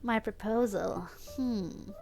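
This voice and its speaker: Woman as a slow, scheming stoner lad. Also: medium pitch, vocal fry, darkish tone, faint music behind. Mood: scheming, hesitant. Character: scheming, stoned young man coaxing a friend.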